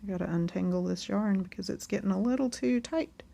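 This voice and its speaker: A woman's voice: medium pitch, a little fast, gravelly, warm tone. Chatty woman teaching knitting. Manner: chatty and friendly, talks while knitting. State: losing her voice. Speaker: knitting tutorial host. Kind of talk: casual step-by-step tutorial.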